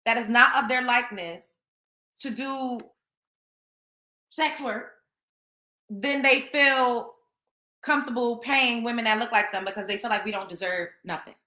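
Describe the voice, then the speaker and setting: Brief: young woman host, blunt and scolding, high bright voice, echoey room. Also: unhurried, pauses often. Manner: blunt, scolding, emphatic, repeats points. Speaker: show host. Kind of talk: opinionated commentary monologue.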